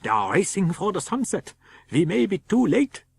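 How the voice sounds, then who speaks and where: A man's voice: sits high, big pitch swings, normal speed, gravelly, slightly rough, voice pushed up, heavily acted. Urgent professor hunting vampires, acted by a man. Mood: urgent, excited. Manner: urgent, exclamatory, commanding. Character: urgent, excited male professor, vampire hunter.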